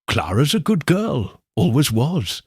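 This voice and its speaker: A male reader plays a gossipy village postmistress in a storybook mystery. Her voice is lifted and lively in pitch, with a husky grain and an earnest, confiding manner. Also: takes it slowly, no echo, studio quality, exaggerated voice. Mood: confiding, regretful. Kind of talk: audiobook character reading.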